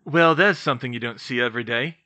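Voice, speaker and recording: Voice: medium pitch, quick pace, sharp tone, dull audio, close to an everyday voice. A narrator voicing a wry adult male wizard with a casual, chatty manner, in a fantasy audiobook.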